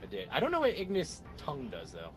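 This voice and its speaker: A man playing an online game with friends, calling out spells and breaking into loud laughs. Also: fairly high pitch, huge pitch swings, fast talker, soft background music, poor audio. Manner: loud, laughing outbursts, casting spells aloud. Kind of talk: casual gaming chat.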